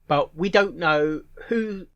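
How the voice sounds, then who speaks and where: A man giving casual reaction commentary in a halting way, repeating phrases and musing aloud in questions. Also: on the high side, lo-fi sound. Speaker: reaction video host.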